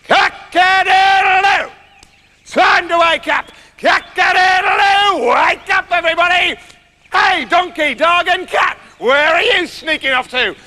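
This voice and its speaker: A man voicing a loud, excitable, dim-witted storybook rooster with a shrill crowing shout and drawn-out delivery. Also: exaggerated voice. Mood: loud, excited.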